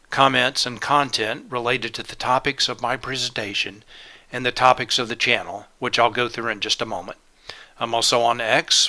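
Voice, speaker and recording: A man's voice: medium pitch, sharp tone. A man presenting a live trading webinar in a methodical, step-by-step way, his voice a little congested from a cold. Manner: methodical, lists steps and items plainly. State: congested with a cold, sore throat.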